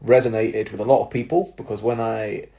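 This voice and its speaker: A young man, a personal trainer, giving casual advice to viewers with a slightly nasal, stuffy-nosed voice, explaining things step by step with filler words and quick "right?" checks. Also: fairly low pitch, steady tone, vocal fry, mellow, slight background noise. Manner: explanatory, with tag questions and fillers. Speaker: personal trainer and jiu-jitsu coach.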